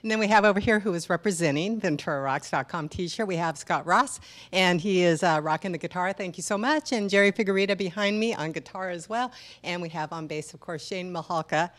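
Chatty woman host, slightly low voice. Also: fairly quick. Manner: upbeat, chatty. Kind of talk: casual studio interview. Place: studio stage with band. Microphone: handheld mic.

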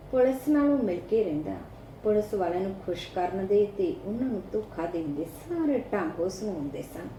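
A woman with an Indian Punjabi accent narrates a scripted short story as an audiobook reader. Her voice sits at a medium pitch with a warm, mellow tone. The recording has a noticeable room echo and a faint layer of background noise.

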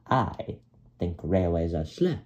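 A man voicing a stuck-up, boastful male helicopter in a children's storybook, with a haughty, drawn-out delivery. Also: low voice, expressive, a little mellow, dull audio, voice pushed down. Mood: dismissive.

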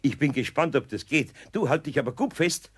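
An actor playing a patient, kindly older carpenter in a children's radio play. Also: male voice, fairly high pitch, lively intonation, fairly quick, slight background noise, lightly acted.

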